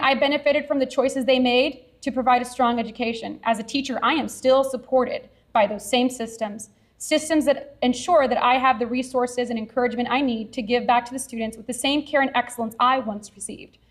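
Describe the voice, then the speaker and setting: A woman from Texas, a science teacher, giving an earnest, measured award acceptance speech at a ceremony podium. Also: high-pitched. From Edinburg, Texas.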